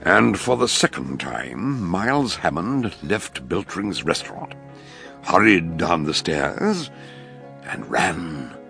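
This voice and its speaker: An actor with a British accent playing a shrewd older detective doctor in a radio drama, telling his deductions with emphatic, dramatic pauses. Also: low-pitched, very animated, vocal fry, bright, forceful tone, soft background music, heavily acted. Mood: grave, sombre. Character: shrewd, theatrical older male detective doctor explaining a murder.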